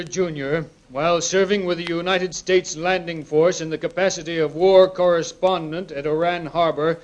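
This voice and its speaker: Man acting an officer, high voice, formal, declamatory, reading a military citation. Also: highs cut off, close to an everyday voice.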